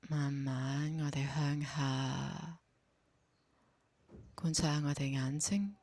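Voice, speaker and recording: Hong kong cantonese lady doing guided meditation, really slow n calm, soft breathy voice, super dry sound. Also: sits low, dry recording. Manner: slow, calm, gently instructing.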